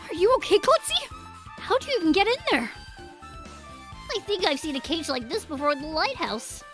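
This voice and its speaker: A woman's voice: very high-pitched, sing-song, normal speed, fairly bright tone, faint music behind, voice pushed up, heavily acted. A woman voicing an easygoing, earnest and friendly penguin detective in a fan mystery story. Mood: concerned.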